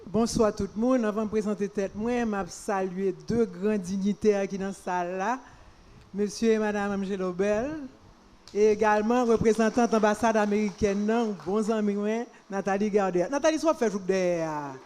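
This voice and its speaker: A woman's voice: mid-range voice, normal speed, mellow, slight background noise. A middle-aged woman from Haiti, a development worker, introducing herself on a panel in a jovial, joking way. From Pétionville, Haiti. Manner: jovial, jokes with the audience.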